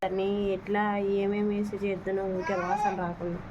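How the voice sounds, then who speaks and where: A woman's voice: medium pitch, flat delivery, fairly quick. A woman explaining things in a casual phone conversation. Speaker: prank caller. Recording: slightly muffled phone call, faint noise.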